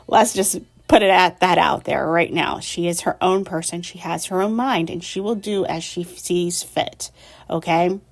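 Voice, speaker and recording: A woman with the sniffles giving a casual tarot reading, blunt and emphatic, who keeps asking "okay?" and swears offhandedly. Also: medium pitch. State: has the sniffles. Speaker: tarot reader.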